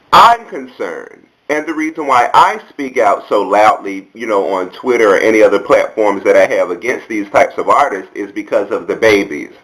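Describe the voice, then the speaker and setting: A man's voice: fairly low pitch, a bit bright, poor audio. An American man giving casual commentary as a video blogger, talking in a candid, sassy, colloquial way.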